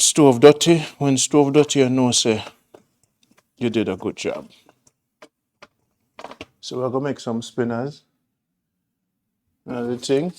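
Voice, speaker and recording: A Jamaican man talks his viewers through a recipe in his own kitchen, unhurried and easygoing. His voice sits at an ordinary middle pitch, and he drifts into chatty, homely asides between steps. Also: darkish tone. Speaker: cooking show host. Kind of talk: casual cooking demonstration. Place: home kitchen.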